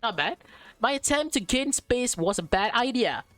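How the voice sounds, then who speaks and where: A woman's voice: fairly high pitch, very animated, normal speed, a bit bright, no room sound, faint music behind. A woman reading a visual novel aloud as a let's player, stumbling over and repeating words, with slangy, casual reactions.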